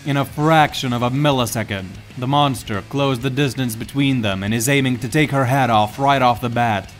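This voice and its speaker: A man's voice: medium pitch, moderate pace, soft background music. A man reading scripted, dramatic story narration as the narrator.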